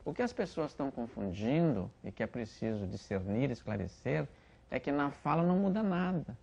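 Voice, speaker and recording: A man's voice: medium pitch, animated, moderate pace, gravelly, a little mellow, breathy edge. A male language expert giving an explanatory interview statement, building his point with examples. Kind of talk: interview testimony. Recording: dull lo-fi recording.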